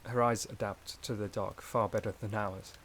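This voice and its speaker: A man playing a gentle, shy, caring forest companion in a soft-spoken ASMR roleplay, with a hesitant, slightly stammering delivery. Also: lowish voice, steady tone, moderate pace, slight background noise, natural voice.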